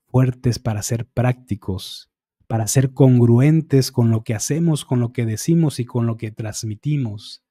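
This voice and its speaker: A middle-aged man with a mellow, warm tone recites affirmations one after another in a calm, measured cadence. He sits close to a studio microphone in a small dark room, and the sound is dry and intimate. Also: medium pitch, no echo. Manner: eyes often closed, fingertip to temple, concentrating. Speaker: energy healing practitioner. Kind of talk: guided affirmation session. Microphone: studio microphone on boom arm, close.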